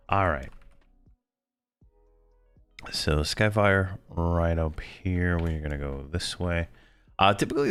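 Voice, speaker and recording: A man commentating his own gameplay in a rambling, laid-back way, full of fillers, his voice hoarse from losing it. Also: a bass voice. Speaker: game video host.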